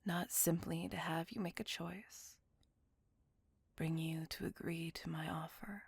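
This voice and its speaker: Woman acting a breathy, whispering, lovelorn lady vampire. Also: low-pitched, fairly even intonation, dry recording, exaggerated voice. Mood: wistful, yearning. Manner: slow, formal, tender and wistful. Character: lovelorn, courteous, melancholy female vampire on a date. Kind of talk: ASMR roleplay, intimate monologue.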